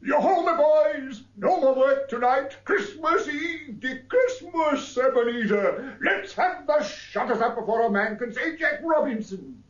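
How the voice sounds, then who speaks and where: Jolly old Fezziwig, high and hollering. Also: male voice, animated, unhurried. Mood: jovial hollering. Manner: hearty hollering calls. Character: jolly, bustling old male merchant. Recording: dull lo-fi roomy recording.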